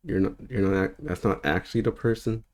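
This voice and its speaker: Man, 30s, very low voice, casual spiritual-teacher monologue, meandering and relaxed. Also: normal speed. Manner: loose and rambling, with easy laughs.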